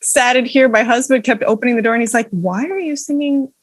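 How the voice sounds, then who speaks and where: A woman's voice: a little high, brisk, dry recording. A woman opera singer talking in a casual interview, wry and self-deprecating. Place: small room at home.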